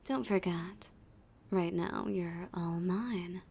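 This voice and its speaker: A woman playing a flirty, dominant villain in an intimate audio roleplay, teasing and menacing a hostage in a breathy, husky voice that slips into whispers. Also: on the low side, takes it slowly, a little mellow, exaggerated voice.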